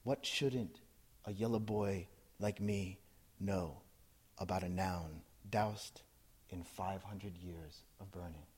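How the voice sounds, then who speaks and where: A man from New Jersey who works as a poet. He gives an intense, measured reading of his poems and then talks casually with a live audience, laughing as he goes. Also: low-pitched, fairly even intonation, darkish tone. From New Jersey, USA. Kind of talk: poetry reading and audience talk. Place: live event in front of an audience.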